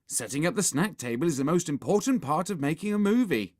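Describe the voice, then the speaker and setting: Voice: medium pitch, animated, fairly quick, a little mellow, pitched above the performer's own voice, close to an everyday voice. A narrator voicing a bossy, scheming young boy who commands and sneers in a children's storybook.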